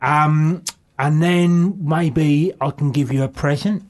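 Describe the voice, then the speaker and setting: A man's voice: on the high side, steady tone, darkish tone. A man playing a cheeky, cheapskate suitor who woos a woman at a bar. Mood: cheesy. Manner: slow, cheesy crooning with endearments.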